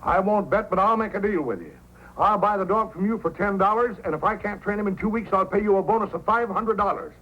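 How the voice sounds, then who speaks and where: A man's voice: high voice, quick pace, fairly bright tone. An actor playing a spirited, principled Yukon dog man in an old-time radio drama, plain-spoken and challenging. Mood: determined. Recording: muffled old radio recording, faint noise.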